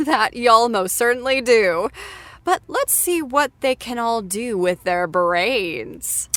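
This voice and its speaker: A woman's voice: on the high side, expressive, slow, fairly bright tone, dry recording. A woman hosting a playful trivia game show in a bubbly, upbeat manner. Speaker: show host.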